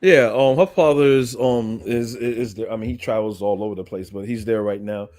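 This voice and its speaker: A man's voice: lowish voice, brisk, darkish tone. A man on a podcast panel talking casually and hesitantly, with many ums and restarts. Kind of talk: casual chat.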